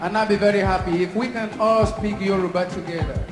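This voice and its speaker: A man's voice: high voice, fairly even intonation, brisk. A man from western Nigeria with a Nigerian accent, teaching a dance and calling out the steps. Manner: instructive, calls out steps. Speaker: dance instructor. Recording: echoey space with loud music.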